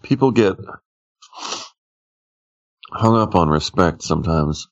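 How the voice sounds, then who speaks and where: A laid-back man tells stories in a very low voice. He sounds unhurried and easygoing. The recording is slightly dull and muffled. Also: lively intonation. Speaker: podcast co-host. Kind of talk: casual chat.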